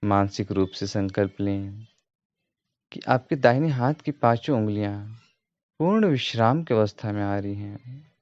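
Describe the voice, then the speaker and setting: A man giving guided meditation instruction as a yoga teacher, in a calm, measured, repetitive way. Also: lowish voice. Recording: roomy echo, dull muffled sound.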